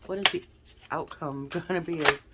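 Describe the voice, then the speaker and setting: A woman's voice: sits low, moderate pace, very noisy. A woman hosting a casual tarot card reading, chatty and thinking aloud, sounding a little snuffly and stuffed-up. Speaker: tarot reader, channel host.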